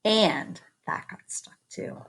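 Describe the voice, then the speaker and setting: A woman reading a children's picture book aloud expressively, with chatty asides to her young listeners. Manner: expressive, pauses to comment and invite predictions. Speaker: read-aloud storyteller.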